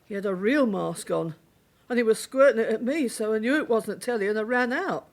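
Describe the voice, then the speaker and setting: A woman's voice: fairly high pitch, lively intonation, fairly quick. A woman acting a flustered, indignant ordinary witness in a radio drama, rambling and defensive. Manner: rambling, defensive, matter-of-fact.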